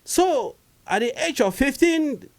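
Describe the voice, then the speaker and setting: Animated Cameroonian-born man telling stories. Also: slow. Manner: animated, rising pitch when retelling stories. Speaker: comedian guest. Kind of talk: casual storytelling interview.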